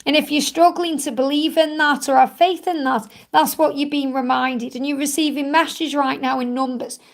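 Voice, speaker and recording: Croaky woman doing a live card reading, gravelly and high, calm and reassuring, says things twice. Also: normal speed. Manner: soothing and reassuring, repeats phrases often. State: croaky, tired voice from much talking. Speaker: tarot card reader and live-stream host.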